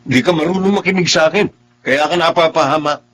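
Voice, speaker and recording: An actor playing a cold, impatient young husband in a radio drama, curt and weary. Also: male voice, on the high side, fairly quick, a bit bright. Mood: annoyed.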